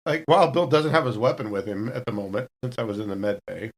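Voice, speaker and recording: A man's voice: on the low side, fast talker. A man playing a tabletop roleplaying game, speaking casually about what his character does.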